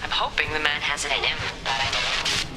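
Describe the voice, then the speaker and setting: Sceptical crew member, glitchy log. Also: female voice, low-pitched, level tone, brisk, over loud music, low-quality recording. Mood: doubtful, weary. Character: disgruntled stranded crew member recording a complaint log. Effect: glitchy stuttering recording. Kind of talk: video game voice acting.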